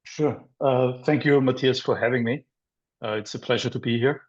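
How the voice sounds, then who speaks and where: A middle-aged man from Austria speaks in a flat, even voice. He talks in a measured way, pausing often. His audio sounds dull and muffled. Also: mid-range voice. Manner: measured, many "um" pauses. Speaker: guest, business development director. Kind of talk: interview answers.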